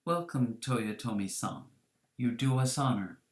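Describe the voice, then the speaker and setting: A woman voicing an elderly, courteous male crime boss with formal, deliberate speech, in a story reading. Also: rumbling low, animated, echoey room.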